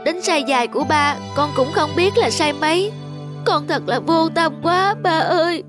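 A young woman playing a devoted, sentimental adult daughter in a cartoon drama, speaking in an expressive, earnest way. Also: high voice, moderate pace, music in the background, lightly acted. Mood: remorseful. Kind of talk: animated cartoon drama acting.

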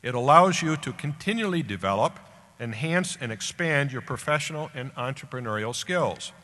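A man's voice: medium pitch, animated, sharp tone, a little hiss or hum. Older American man speaking in a reverberant hall. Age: older, about 70. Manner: deliberate short phrases with emphatic pauses. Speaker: guest speaker at a graduation ceremony. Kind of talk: formal commencement address read from a script. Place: large indoor auditorium stage at a podium. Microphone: podium microphone. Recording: echoey hall public-address sound.

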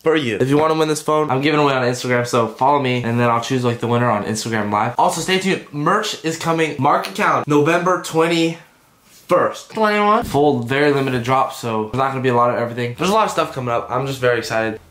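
A man in his twenties with a stuffy, congested voice, talking casually and jokingly to camera in a deadpan, goofy way. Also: medium pitch, quick pace. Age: 20s. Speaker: YouTube vlogger, custom artist. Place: indoors, room at home.

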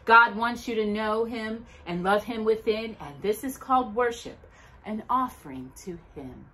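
A middle-aged woman hosting a children's storytime, reading aloud in an expressive, deliberate, dramatic way with emphatic pauses. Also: fairly high pitch. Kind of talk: expressive read-aloud of Bible verses and a children's Christmas book. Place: living room at home, indoors by a brick fireplace.

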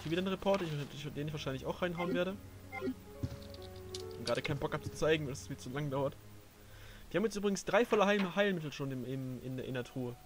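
A man's voice: fairly high pitch, fast, long pauses. A young man giving casual, slangy and crude gaming commentary as he plays. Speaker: let's play host, playing the game. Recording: game music behind the voice. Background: video game music.

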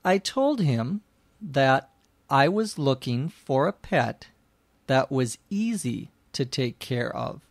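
A man hosting a language-lesson podcast, narrating and explaining from a script in a deliberate, carefully phrased way for learners. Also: medium pitch, very animated, no room sound.

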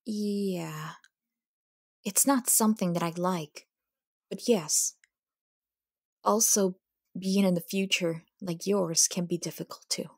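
A woman voicing a shy, reserved young princess cat in a cartoon dub, breathy and hesitant, easily flustered. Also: fairly low pitch, slow pace, long pauses, no echo, clean studio sound, exaggerated voice. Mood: reserved, tired. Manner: soft, hesitant, stammering. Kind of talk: cartoon comic dub acting.

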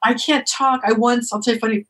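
An animated, emphatic woman author talking as a guest on an interview podcast. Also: fairly high pitch, breathy edge. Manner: animated, emphatic, quick bursts of speech.

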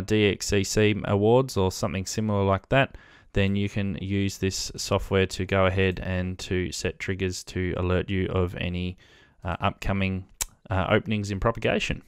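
A man's voice: lowish voice, smooth, no room sound. A man in his thirties with an Australian accent, hosting a casual tutorial to camera at a close desk microphone. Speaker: host of a ham radio video channel. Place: small indoor room at a desk. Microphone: desk microphone, close, with headset on.

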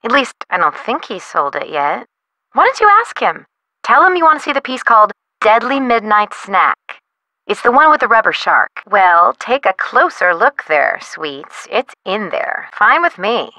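A woman's voice: mid-range voice, sing-song, moderate pace, bright, forceful tone, dry recording, rough recording. An American woman voicing a young artist in a video game, flippant and theatrical, with breezy slang. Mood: conspiratorial, breezy. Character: flippant, dramatic young bohemian female artist. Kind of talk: video game character dialogue.

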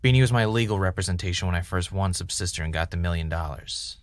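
An actor playing an obsessive, dry-witted middle-aged podcaster in a scripted audio drama. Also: male voice, sits low, quick pace, no echo, lightly acted. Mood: matter-of-fact. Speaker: podcast host.

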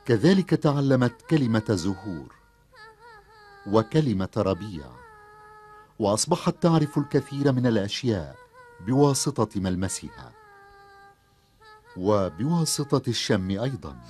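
A man's voice: fairly low pitch, very animated, a little slow, pauses often, a little mellow. A man narrating a children's cartoon story in scripted storytelling style.

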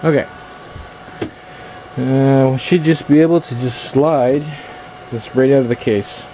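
Male repair vlogger, casual and halting, thinking aloud; noisy handheld camera sound in a small workshop. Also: mid-range voice, warm tone. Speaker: electronics repair hobbyist hosting a video. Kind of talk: casual hands-on repair commentary. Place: small indoor workshop at a workbench. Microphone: handheld camera's built-in microphone.

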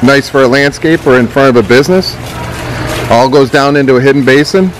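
A man gives an upbeat, salesy tour of a big greenhouse nursery. He holds the camera at arm's length as he walks. A faint hum of background noise sits under his mid-pitched voice. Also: brisk. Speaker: business owner and channel host. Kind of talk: walking tour vlog. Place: large greenhouse nursery, indoors.

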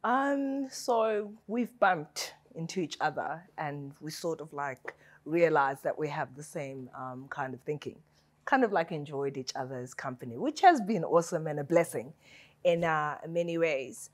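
A woman's voice: low voice, lively intonation, a little slow. A woman hosting a panel discussion, chatty and conversational, with loose fillers as she sets up her questions. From Kenya. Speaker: host. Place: hotel event space.

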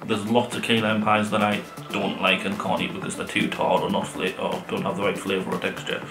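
A man's voice: fairly low pitch, little pitch movement, brisk. Roomy-sounding man, enthusiastic. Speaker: vlog co-host reviewing restaurants. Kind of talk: casual sit-down chat to camera. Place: living room sofa, indoors. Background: background music.

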